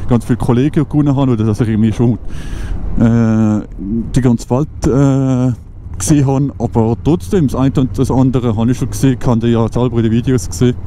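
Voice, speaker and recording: A man's voice: mid-range voice, steady tone, a little fast, dark tone. A man in his twenties with a Swiss German accent, chatting casually while riding a motorcycle, thinking aloud with many fillers and restarts. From Switzerland. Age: 20s. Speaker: motovlogger. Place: outdoors on a moving motorcycle on country roads. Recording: wind and engine noise from riding.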